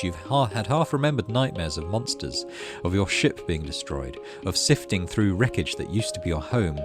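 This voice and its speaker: A man hosting a gaming video, reading game text aloud like a storybook and adding casual asides. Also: low-pitched. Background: music.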